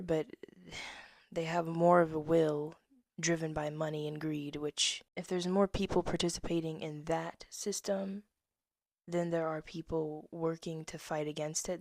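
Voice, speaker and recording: Young woman reading her own poems, low voice, soft and whispery, dry close sound. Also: no echo. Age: 20s. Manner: soft-spoken, drifts into whispers, laughs at herself. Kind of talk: casual reading of old poems with asides.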